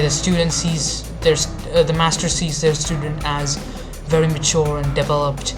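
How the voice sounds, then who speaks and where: A woman giving an informal, sweary video rant as a reviewer, in a blunt and sarcastic manner. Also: low-pitched. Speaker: anime review video maker. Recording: music behind the voice. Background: background music.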